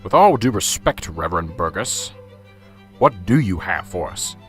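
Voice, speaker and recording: A man doing a short-tempered police sergeant in a radio drama. The voice sits low but swings widely in pitch, curt and sarcastic. Soft music runs underneath. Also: sing-song, unhurried, exaggerated voice. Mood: impatient.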